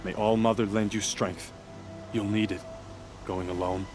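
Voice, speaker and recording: A man's voice: on the low side, level tone, measured pace, music in the background, natural voice. An actor playing a solemn, devout male tribesman giving directions in a video game.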